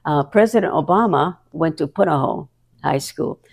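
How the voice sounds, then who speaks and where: A woman's voice: deep voice, animated, moderate pace, vocal fry, a little mellow, husky. A woman in her nineties with a Hawaiian accent, chatting and reminiscing as an interview guest, laughing often. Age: 90s. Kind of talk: reminiscing conversation.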